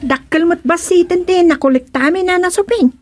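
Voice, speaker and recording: A woman playing a chatty, expressive granddaughter in a comic village radio serial. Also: very high voice, normal speed, loud music behind the voice. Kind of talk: comedic radio serial acting.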